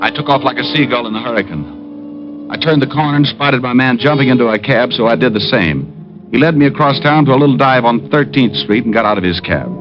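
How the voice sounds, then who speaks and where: An American actor playing a cocky, wisecracking private detective in a 1950s radio drama, wry and hard-boiled. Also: male voice, mid-range voice, a little fast, lightly acted. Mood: hard-boiled narration. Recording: muffled old radio recording, loud music behind.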